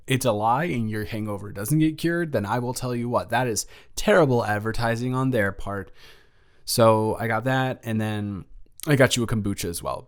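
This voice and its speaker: A man acts out an anxious young student in an intimate audio roleplay, talking to the dormmate he has a crush on. He has a mid-pitched male voice. He rambles and stumbles, flustered and awkward, often correcting himself. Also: lightly acted.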